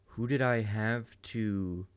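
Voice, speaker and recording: A young adult man hosting a reaction video, chatty and excitable, musing aloud and breaking into loud outbursts. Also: fairly low pitch, takes it slowly, clean tone. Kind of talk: casual reaction commentary.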